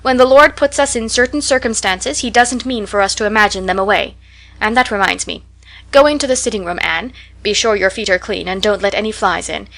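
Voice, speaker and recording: Woman reading as a stern middle-aged spinster, brisk, dry, disapproving, storybook. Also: mid-range voice, vocal fry, a bit bright. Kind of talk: audiobook character voice.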